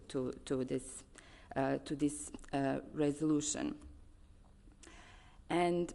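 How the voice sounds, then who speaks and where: A woman with a Serbian accent and a low-pitched voice delivers a formal speech as an advocate at a conference. She speaks earnestly and haltingly, stressing the items in her lists with care. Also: gravelly, darkish tone.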